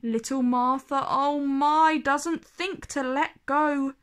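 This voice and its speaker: A woman narrating a children's storybook aloud with expressive, deliberate phrasing. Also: sits high.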